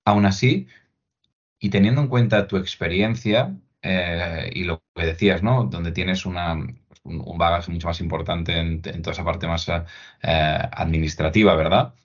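A man hosting a conversational podcast interview. His voice sits very low and sounds husky. He talks hesitantly, piling up restarts and tag questions, over faint music in a slightly dull, lo-fi recording. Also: fairly even intonation, normal speed. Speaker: podcast host.

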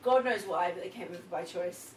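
A woman co-hosting a casual travel podcast, loud and swearing freely, laughing often. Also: fairly low pitch, brisk. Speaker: podcast co-host. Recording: echoey room, mic far off, noisy.

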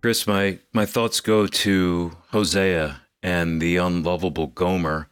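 A man with a very deep, smooth, resonant voice reflects aloud with thoughtful pauses. He sounds close to a dry microphone. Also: fairly even intonation, no room sound, soft background music. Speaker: co-host. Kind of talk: casual radio discussion.

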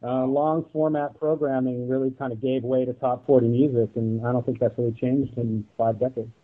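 A man's voice: medium pitch, flat delivery, moderate pace. A man speaking as a podcast guest in a thoughtful, articulate way. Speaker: podcast guest, audio drama producer. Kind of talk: thoughtful roundtable discussion. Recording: thin, phone-like band-limited line.

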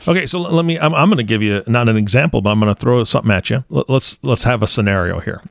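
A man's voice: medium pitch, animated, fast talker, vocal fry, no echo, studio quality. A man in his forties hosting a podcast, chatting casually and laughing easily as he lays out hypothetical questions. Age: 40s. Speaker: podcast host. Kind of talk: casual interview chat.

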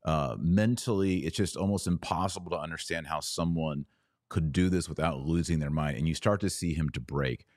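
Male podcast host, very low voice, dry close sound, earnest solo reviewer who stresses key words. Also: normal speed, a little mellow, no echo. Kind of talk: solo film review monologue.

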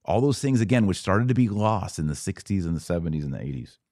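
A man's voice: low voice, big pitch swings, quick pace, mellow, no echo, studio quality. A man hosting a religious podcast, talking casually and instructively straight to his online audience. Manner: conversational, didactic, addresses listeners directly. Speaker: podcast host.

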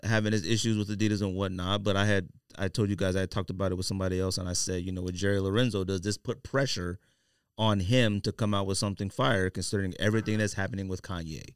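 A man's voice: sits low, steady tone, fast talker, smooth, no echo, clean studio sound. An American man hosting a casual podcast, arguing his points emphatically and repeating himself. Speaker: podcast host. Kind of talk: casual podcast chat and debate. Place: indoor podcast studio.

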